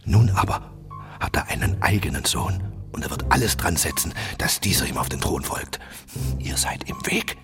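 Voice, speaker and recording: A very deep, hushed Swabian tutor. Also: male voice, sing-song, moderate pace. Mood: grave, urgent. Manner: urgent, hushed, breathy whispering. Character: anxious, learned royal tutor warning his prince. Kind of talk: fantasy audio drama acting. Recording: music under the voice.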